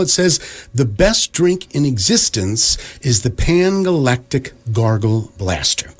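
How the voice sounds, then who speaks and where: A man playing the wry, deadpan narrator of a science fiction radio comedy, reading scripted narration in a measured way. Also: medium pitch.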